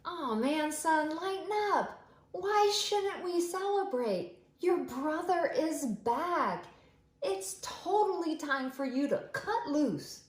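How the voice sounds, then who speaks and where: A woman voicing a joyful, excited father who shouts with glee at his son's return. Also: takes it slowly, reverberant. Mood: coaxing. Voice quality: high, shouting, exuberant. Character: joyful excited father, middle-aged man.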